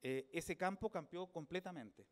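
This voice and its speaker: A middle-aged man from Chile with a Chilean accent, an author giving a reflective public talk at a book fair, thoughtful and unhurried as he searches for his words. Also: a little high.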